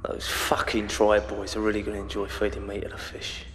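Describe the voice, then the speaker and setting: A man's voice: on the low side, completely flat delivery, a little fast, close to an everyday voice. An actor playing a bitter, cornered ex-convict father in a video game, blunt, with a London accent. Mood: defeated, bitter. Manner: blunt, embittered. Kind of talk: video game cutscene acting. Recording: long echoing reverb.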